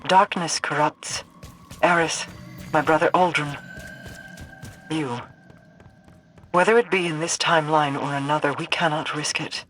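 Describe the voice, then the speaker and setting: A low voice of a solemn woman ruler in a video game. She speaks in a measured, guarded way, proud and used to command. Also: steady tone, fairly bright tone, faint music behind. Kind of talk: video game cutscene acting.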